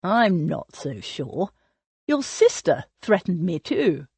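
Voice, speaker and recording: Woman playing brooding nobleman husband; low, slow, dry murmur. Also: sing-song, slightly rough, no echo, full character voice. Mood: dark teasing. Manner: low, slow, dark drawl. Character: brooding, teasing aristocratic husband.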